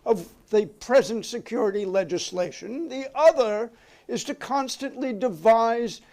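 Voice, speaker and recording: A man's voice: extremely high pitch, a little slow, darkish tone. A man reading the part of a formal, insistent committee counsel questioning a witness in a dramatic reading of a 1950s hearing. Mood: official. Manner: courtly, official, insistent.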